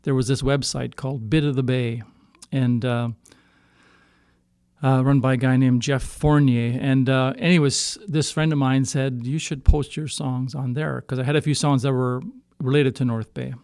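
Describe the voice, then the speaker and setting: A middle-aged man from Canada speaks in a smooth, clear voice, captured close and dry. He tells his story casually, in a halting way, doubling back to reword himself as he goes. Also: medium pitch, fairly even intonation, darkish tone, no echo. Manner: halting, circling back to rephrase. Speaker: singer-songwriter guest. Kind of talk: casual storytelling in a podcast interview.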